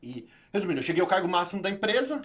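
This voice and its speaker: Lively São Paulo man telling stories. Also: high voice. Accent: São Paulo Brazilian Portuguese. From Brazil. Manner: animated, talks in quick bursts with many restarts. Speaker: interview guest, YouTube channel creator. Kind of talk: casual storytelling interview.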